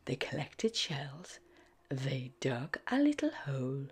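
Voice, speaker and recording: Female storybook reader: breathy, very unhurried, sing-song delivery for young children. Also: rumbling low, sing-song. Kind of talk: expressive scripted storybook read-aloud for young children. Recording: background music under the voice. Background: music.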